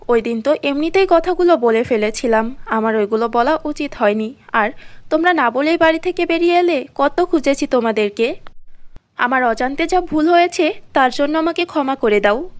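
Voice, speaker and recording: A woman's voice: high-pitched, no echo, close to an everyday voice. A woman playing a greedy, scheming aunt who pleads melodramatically in a fairy-tale cartoon.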